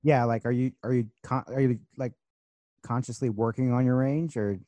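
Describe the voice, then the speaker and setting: A man hosting a podcast, asking casual, halting questions full of fillers. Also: mid-range voice, steady tone, dark tone, dry recording. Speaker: podcast host.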